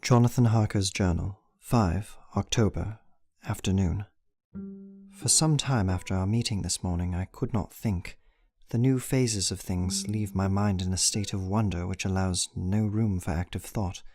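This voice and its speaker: A man's voice: sits low, unhurried, darkish tone. An actor playing a troubled, devoted young husband, narrating his diary solemnly in a radio play. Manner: solemn, brooding, reflective.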